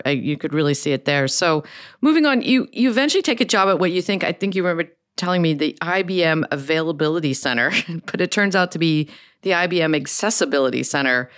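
A woman's voice: low voice, fairly bright tone, smooth. A woman hosting an interview, friendly and chatty, sharing her own experiences between questions. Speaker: interview host. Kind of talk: conversational interviewing.

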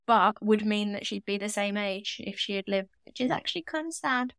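A woman's voice: medium pitch, moderate pace, a bit bright, smooth, no echo. A woman in her thirties with a British accent co-hosts a podcast in a casual, chatty style. She likes to tease. The sound is close and dry. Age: 30s. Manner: teasing, chatty. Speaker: podcast co-host. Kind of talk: casual banter and true crime discussion.

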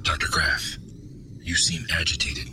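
A man playing a polite, unflappable computer assistant in an audio drama, speaking in a formal, measured way. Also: deep voice, huge pitch swings, sharp tone, a little hiss or hum, full character voice. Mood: calm, courteous. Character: polite, unflappable male AI assistant of an undersea base.

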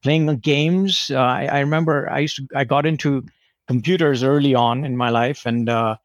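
A man in his thirties, originally from India, talks through his answers as an interview guest, often stopping and starting again. His voice has a middle pitch, and the recording is dry and close. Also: steady tone, normal speed, dry recording, lo-fi sound. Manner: hesitant, many restarts and fillers.

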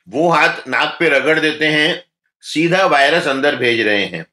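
A man speaking as a doctor, giving calm, instructive health advice in an interview. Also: mid-range voice, echoey room.

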